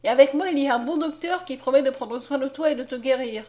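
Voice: high voice, steady tone, brisk, a little mellow, voice pushed up, natural voice. A woman narrator voicing a pleading, anguished young peasant girl with imploring exclamations in a storybook reading. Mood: urgent relief.

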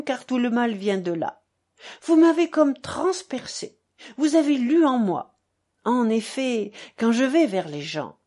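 A woman's voice: a little high, warm tone, pitched above the performer's own voice. Woman acting a theatrical, gushing old buffoon. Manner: exclamatory, effusive, declamatory. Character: theatrical, self-abasing old buffoon, provocative mock-pious father.

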